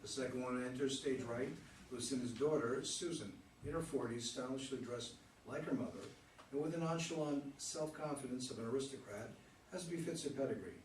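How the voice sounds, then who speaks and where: A man narrating stage directions at a play reading, plain and a little halting. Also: medium pitch, a little mellow. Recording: echoey room, distant mic, faint noise.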